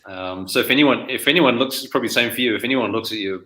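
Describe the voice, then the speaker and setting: A man's voice: mid-range voice, racing, fairly bright tone. A middle-aged man from New Zealand, a guest author chatting casually in an interview, rambling with many restarts. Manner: rambling, with frequent restarts and fillers.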